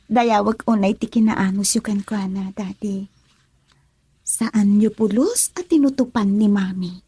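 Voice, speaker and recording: Young ilocano gal in a radio drama, frank but softhearted, dark mellow voice. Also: female voice, medium pitch, a little slow, slightly rough, no room sound. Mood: pleading. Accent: Filipino, Ilocano.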